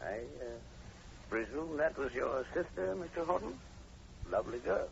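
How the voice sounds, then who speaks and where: A man's voice: mid-range voice, animated, moderate pace, gravelly. A man playing a mild, polite, inquisitive professor with formal phrasing in a vintage radio drama. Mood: courteous. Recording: muffled, hissy vintage radio recording.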